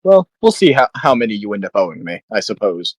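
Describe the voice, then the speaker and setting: A man's voice: fairly low pitch, big pitch swings, fairly quick, no echo. A man playing a tabletop roleplaying game, moving between in-character roleplay and casual chat. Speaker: voice actor.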